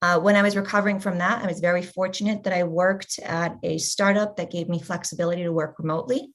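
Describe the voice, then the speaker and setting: A woman in her forties, a patient advocate, telling her personal story in an earnest, measured way on a panel discussion. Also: on the low side, steady tone.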